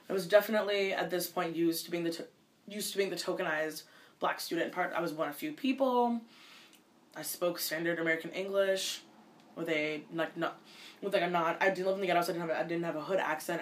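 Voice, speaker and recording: A woman in her twenties from Minnesota, a college student answering interview questions casually, often restarting her sentences. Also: lowish voice. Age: 20s. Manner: fast, with many restarts and filler likes.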